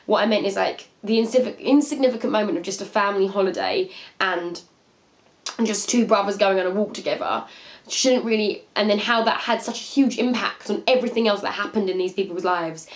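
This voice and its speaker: A woman's voice: medium pitch, brisk, muffled recording. A young woman in her twenties with a sniffly cold, rambling casually through a book review in her living room. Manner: rambling, chatty, many fillers and restarts. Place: living room by a window seat, indoors.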